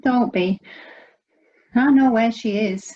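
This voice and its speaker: A woman playing an emotional, tired, guarded young woman in an audio play, halting and dramatic. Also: on the high side, mellow. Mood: weary, guarded. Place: recorded at home during lockdown. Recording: muffled home recording.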